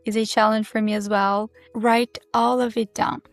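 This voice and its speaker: A Brazilian woman, a native Portuguese speaker with a Brazilian accent, hosting her English-learning channel and talking in a calm, measured, candid way. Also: a little high, no room sound. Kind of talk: reflective teaching monologue to camera. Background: soft background music.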